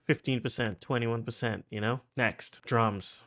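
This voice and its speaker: Man, Trinidadian accent, mid pitch, chatty, filler-heavy, excitable producer tutorial. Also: unhurried, loud music behind the voice. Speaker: music producer.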